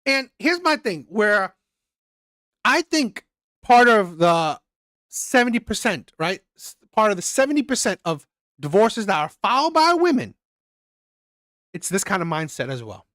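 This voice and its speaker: A man delivering an opinion commentary, hammering his points home by repeating them. His pitch swings widely as he talks. The sound is dry and close, with no room echo. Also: very animated, measured pace, pauses often, a bit bright. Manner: emphatic, repeats phrases for effect. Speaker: commentary channel host.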